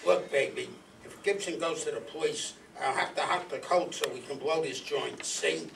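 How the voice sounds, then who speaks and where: A man playing a hot-tempered scam artist in 1940s radio gangster style, gravelly, forceful and blustering. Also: on the high side, normal speed. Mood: tough, impatient. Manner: tough-guy slang, blustering. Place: live stage reading in a meeting room. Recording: distant mic, noisy hall.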